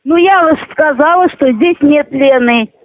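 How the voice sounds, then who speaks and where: High-voiced woman on a tinny phone. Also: a little slow. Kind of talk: casual phone conversation. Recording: narrowband telephone line.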